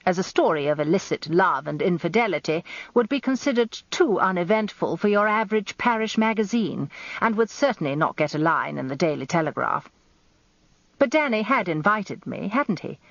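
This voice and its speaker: Older woman, English accent, confiding wry radio drama narration, animated intonation, close dry room, muffled lo-fi sound. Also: sits low, no echo. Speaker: narrator of a radio drama, in character as a barrister's wife. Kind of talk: scripted first-person dramatic narration.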